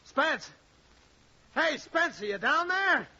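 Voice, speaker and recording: A man's voice: very high voice, sing-song, takes it slowly, bright, forceful tone, exaggerated voice. An actor in an old-time radio drama playing an earnest young airman just out of college. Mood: alarmed. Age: young adult. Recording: dull old radio recording.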